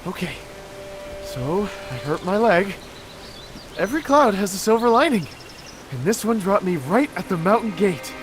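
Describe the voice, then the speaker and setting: A man playing an excitable, earnest young male inventor in a video game cutscene. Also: high-pitched, normal speed, pauses often. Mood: sheepish, hurt. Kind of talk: animated game cutscene dialogue. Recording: loud music behind the voice.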